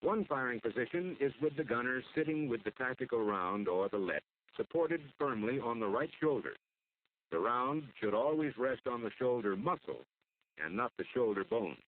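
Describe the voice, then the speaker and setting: An American man delivers formal, measured scripted narration for an instructional military training film. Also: medium pitch, animated. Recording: muffled phone-like old film soundtrack.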